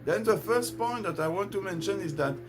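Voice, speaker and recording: A man's voice: high voice, lively intonation, brisk. Earnest man preaching over guitar music. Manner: earnest, repetitive, preacher-like cadence. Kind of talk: faith-based motivational talk.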